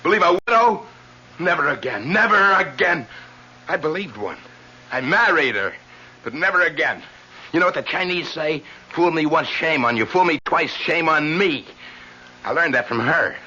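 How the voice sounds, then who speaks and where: Tormented, unhinged killer, sharp intense voice. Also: male voice, fairly high pitch, expressive, normal speed, husky, exaggerated voice. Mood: bitter rage. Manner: insistent, repeats phrases obsessively. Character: tormented serial killer lodger, self-pitying, bitter and unhinged. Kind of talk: old-time radio mystery acting. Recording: dull lo-fi radio recording with hiss.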